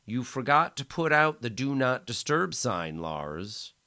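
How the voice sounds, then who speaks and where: Man doing a cruel enforcer, sneering, threatening, forceful. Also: mid-range voice, very animated, unhurried, voice pushed up, heavily acted. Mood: menacing. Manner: threatening, sneering, then falsely soothing. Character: menacing male enforcer, cruel and controlling.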